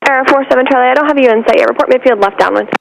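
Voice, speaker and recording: Female tower controller, extremely fast and creaky, clipped instructions through a slightly noisy aviation radio. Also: high voice, no room sound. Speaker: air traffic tower controller.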